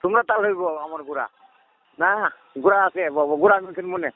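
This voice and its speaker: Older man on tinny phone, high voice. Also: brisk, no room sound. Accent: rural Kishoreganj Bengali. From Kishoreganj, Bangladesh. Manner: plain-spoken, repeats short phrases. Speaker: phone call participant, village elder. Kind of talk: casual phone conversation. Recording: tinny narrowband phone line.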